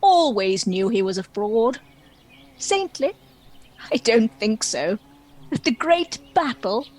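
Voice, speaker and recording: Woman as world-weary dragon, comic stage drama, slow delivery, highish voice, indignant, wry, theatrical. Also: big pitch swings, a little mellow, heavily acted. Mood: haughty, irritated. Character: proud, lonely, world-weary vegan dragon. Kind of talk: dramatic monologue in a comic play.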